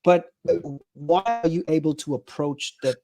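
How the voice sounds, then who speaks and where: A man joining a live discussion as a guest, speaking haltingly and hesitantly, with plenty of filler words. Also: fairly high pitch, steady tone, over music.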